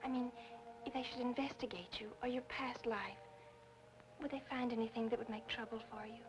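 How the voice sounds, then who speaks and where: A woman's voice: fairly high pitch, fairly even intonation, brisk, background noise, lightly acted. An actress playing an earnest, infatuated young woman who tries to seem sophisticated, in 1940s film noir style. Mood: hushed, worried.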